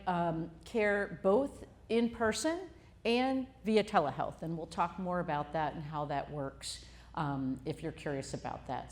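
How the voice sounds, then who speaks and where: A woman with a somewhat low voice opens a health event in front of a live audience. She talks in a loose, conversational way, full of fillers. She introduces her guest in a friendly tone. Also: darkish tone. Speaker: CEO hosting a health talk.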